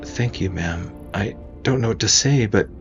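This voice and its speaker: A man's voice: lowish voice, unhurried, vocal fry, highs cut off, over music, voice pushed up. A man voicing a young female junior navy ensign in audio fiction, earnest and deferential. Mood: grateful. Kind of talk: audio fiction character dialogue.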